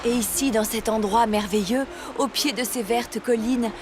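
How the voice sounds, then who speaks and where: A woman playing a devout, gentle wife and mother of biblical times in a dubbed animated Bible story. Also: on the high side, moderate pace, noisy background, natural voice. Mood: joyful, hopeful.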